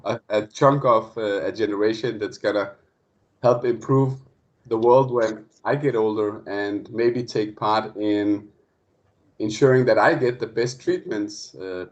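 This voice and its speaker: Friendly man on a dull video call. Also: fairly low pitch. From Denmark. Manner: friendly, hesitant, many fillers and restarts. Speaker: guest speaker. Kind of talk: casual opening of an online lecture. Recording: dull lo-fi online call audio.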